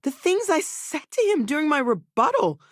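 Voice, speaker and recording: A woman's voice: high-pitched, very animated, moderate pace, no room sound. A brainy woman scientist in a video game, recorded close and dry. She is earnest and eager, with an anxious edge. She speaks thoughtfully, with small hesitations. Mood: astonished.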